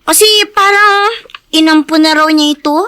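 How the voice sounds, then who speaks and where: An actress playing a gentle, innocent young sister with an accepting manner, in a radio drama. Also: female voice, high-pitched, moderate pace. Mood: hesitant, mild.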